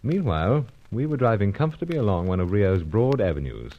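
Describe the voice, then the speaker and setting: An actor playing a suave, unflappable British secret agent in a radio adventure serial, speaking in terse, understated, clipped phrases. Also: male voice, lowish voice, sing-song, fairly quick, muffled recording. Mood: wry, suspenseful. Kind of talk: old-time radio adventure serial narration and dialogue.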